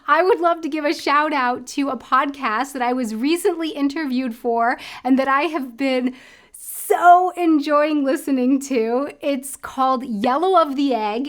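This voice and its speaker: An American woman in her thirties talking to camera in a casual, reflective vlog, thinking aloud with lots of fillers. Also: high voice. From United States. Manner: chatty, thinks aloud with many likes and I don't knows. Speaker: vlogger. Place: indoor room at home.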